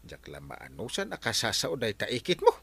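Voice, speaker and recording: An actor playing a brash, joking husband who shouts and mocks in a radio comedy drama. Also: male voice, on the low side, wildly swooping pitch, moderate pace, creaky, slightly rough, exaggerated voice. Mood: mocking. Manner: loud, swooping exclamations.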